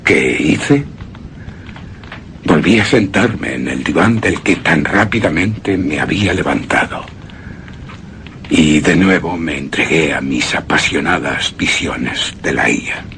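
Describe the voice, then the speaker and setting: An actor playing a tormented man keeping a deathwatch, speaking in a halting, haunted, dramatic way in a suspense and horror radio play. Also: very deep voice, expressive, slight background noise, full character voice. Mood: grim, haunted. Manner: haunted, halting, dramatic, breaking into hushed whispers. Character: tormented male narrator keeping deathwatch over a shrouded woman.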